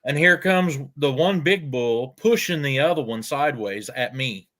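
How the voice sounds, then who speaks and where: An older man, telling farm stories in a folksy, unhurried way with frequent pauses. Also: mid-range voice, animated, fairly bright tone. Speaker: storyteller guest. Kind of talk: casual storytelling.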